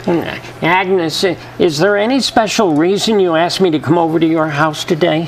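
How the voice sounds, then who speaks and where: A man's voice: on the high side, normal speed, lightly acted, low-quality recording. A man playing a nervous, evasive teenage boy who stammers sheepishly, in 1940s radio comedy style. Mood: uneasy, distracted. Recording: live acting with clear background noise.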